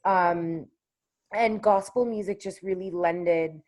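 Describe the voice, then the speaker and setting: A woman with a low contralto voice, a singer-songwriter talking casually in an interview, often pausing with fillers and restarting her sentences. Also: steady tone, darkish tone. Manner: hesitant, with many fillers and restarts.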